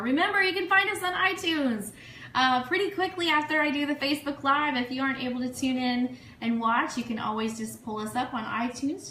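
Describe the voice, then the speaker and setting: A woman from the United States hosting a casual, chatty livestream radio show in a gushing, excitable way, full of thank-yous and shout-outs. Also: on the high side. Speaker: online radio show host. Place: room, live social media stream. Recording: echoey room, faint noise.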